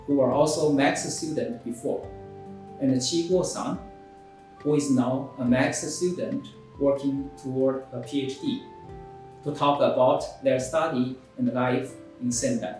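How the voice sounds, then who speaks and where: A middle-aged man, a university professor, giving a formal prepared introduction in measured, list-like phrases that trail off softly at the ends. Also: mid-range voice, fairly even intonation. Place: bare room with grey wall. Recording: very echoey room, faint music.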